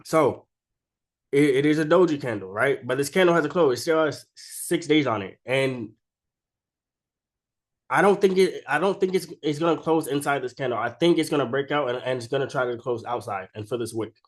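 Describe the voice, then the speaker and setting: A man's voice: mid-range voice, normal speed. Man with a cold, casual chart talk. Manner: informal and slangy, keeps tagging statements with "right?". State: sick with a cold. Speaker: stock trading video creator. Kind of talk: casual unscripted chart commentary.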